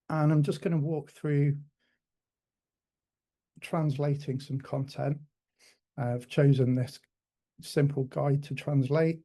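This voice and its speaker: A man giving a conference talk with a software demo, pausing often with ums and restarting sentences. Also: fairly high pitch. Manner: hesitant, many ums and restarts. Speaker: conference speaker.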